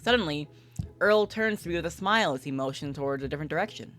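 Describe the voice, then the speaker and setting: A woman's voice: low voice, lively intonation, fast talker, a bit bright, soft background music. A woman livestreamer reading a visual novel aloud and commenting casually.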